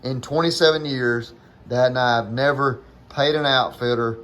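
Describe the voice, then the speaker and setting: A man with a Southern US accent chats and tells stories in a loose, folksy style. His pitch is average, and he often breaks into his own sentences. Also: fairly even intonation, moderate pace, fairly bright tone. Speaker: guest, hunter telling stories with his father. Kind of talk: casual storytelling chat.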